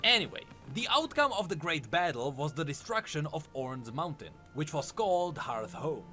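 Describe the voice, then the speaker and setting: A man's voice: fairly high pitch, big pitch swings, moderate pace, sharp tone. A man reading scripted narration, telling fantasy game lore as a story. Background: background music under the voice.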